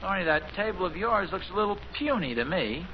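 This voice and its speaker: A man's voice: sits high, sing-song, a little fast. An actor playing a mild, flustered suburban husband and father in a 1950s radio sitcom, stammering and defensively proud. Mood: wry, defensive. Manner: stammering, hesitant. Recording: muffled, hissy 1950s radio recording.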